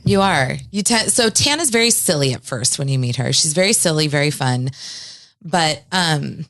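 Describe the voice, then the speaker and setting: A low-pitched woman in her forties hosts a podcast, speaking earnestly and from the heart. Her voice is close and dry, with no room sound around it. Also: animated, normal speed, a bit bright, no room sound. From Eastern Washington, US. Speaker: podcast host. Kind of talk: podcast intro and casual interview.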